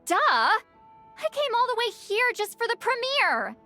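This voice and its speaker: A woman playing an expressive, earnest young woman in an animated video game style. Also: very high voice, unhurried, soft background music, heavily acted. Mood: whiny, flustered.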